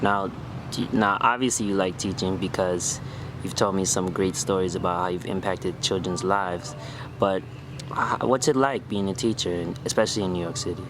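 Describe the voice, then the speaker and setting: Low-voiced male street interviewer. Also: steady tone, normal speed. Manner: easygoing, many short affirmations. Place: outdoors on a city street. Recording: noisy street with traffic.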